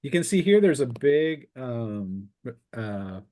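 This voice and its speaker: A man acts as game master for a tabletop roleplaying session, with a middle-pitched voice. He describes scenes off the cuff, feeling his way through the details in a halting delivery. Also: sing-song, warm tone. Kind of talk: improvised tabletop game narration.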